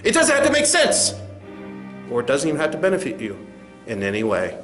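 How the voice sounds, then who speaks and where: A man's voice: a little high, wildly swooping pitch, a little fast, fairly bright tone. A man playing a smug, dismissive corporate spokesman in a comedy sketch, stammering through condescending doublespeak. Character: smug, dismissive male corporate spokesman facing angry creators. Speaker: spokesman at a press address. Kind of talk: satirical comedy sketch speech. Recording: roomy echo with faint music.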